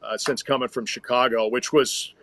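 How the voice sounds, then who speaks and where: A man who works as a sports announcer, speaking as a guest in conversational analysis, reasoning aloud in measured, hesitant phrases. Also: medium pitch, fairly even intonation, fairly bright tone, dry recording.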